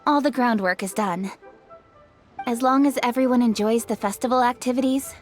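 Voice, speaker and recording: A woman's voice: fairly high pitch, moderate pace, faint music behind, close to an everyday voice. An actress playing a poised, courteous and diligent young female official in a game, speaking in an articulate, formal way. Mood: composed. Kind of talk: game voice acting.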